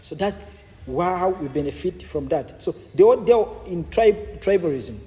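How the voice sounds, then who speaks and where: A man's voice: high voice, expressive, a little slow, warm tone, long reverb tail. A man, a podcast guest explaining his views at length, stumbling and repeating words as he goes. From Uganda. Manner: repetitive, many false starts and restarts. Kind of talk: explanatory interview answers.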